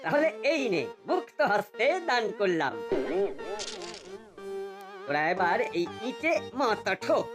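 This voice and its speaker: A smug, teasing cartoon youngster, mid-pitched with very lively swings. Music plays clearly behind the voice. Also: female voice, a little slow, long pauses. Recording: loud background music.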